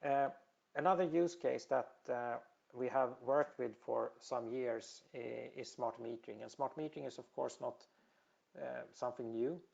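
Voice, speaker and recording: Hesitant Swedish-accented man, gravelly voice. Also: mid-range voice, moderate pace, a little mellow, dull audio. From Sweden. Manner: hesitant, many fillers and repeated words. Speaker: industry presenter. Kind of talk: technical webinar presentation.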